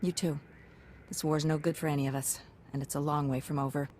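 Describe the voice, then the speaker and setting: A woman's voice: low voice, level tone, a little fast, darkish tone, a little hiss or hum, lightly acted. A woman playing a pragmatic, hard-nosed military science commander in a video game, clipped and businesslike. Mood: weary, conciliatory. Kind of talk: video game character acting.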